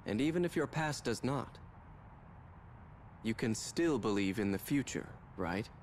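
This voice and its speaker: An actor playing a kind male companion with a gentle, encouraging manner in a video game cutscene. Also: medium pitch, expressive, unhurried, natural voice. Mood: reassuring. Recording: faint background noise.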